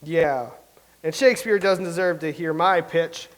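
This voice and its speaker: A man's voice: a little high, measured pace, close to an everyday voice. An actor playing a vain, anxious male playwright who rambles nervously in a staged comedy reading. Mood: rambling. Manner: rambling, nervous, self-pitying. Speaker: playwright character.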